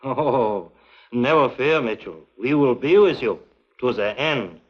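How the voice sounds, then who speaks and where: An actor playing a suave, menacing foreign spy who speaks with formal, mock-courteous precision in an early 1950s TV drama. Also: male voice, medium pitch, animated, takes it slowly. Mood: cold menace. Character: suave, menacing male foreign spy villain, coldly polite. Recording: muffled vintage 1950s TV soundtrack.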